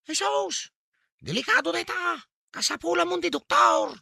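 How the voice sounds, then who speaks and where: A man's voice: very high-pitched, big pitch swings, moderate pace, creaky, bright, forceful tone, no echo, clean studio sound, exaggerated voice. A man with an Ilocano accent playing a teasing, concerned drinking pal in a comedy drama, exclaiming and chiding. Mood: alarmed.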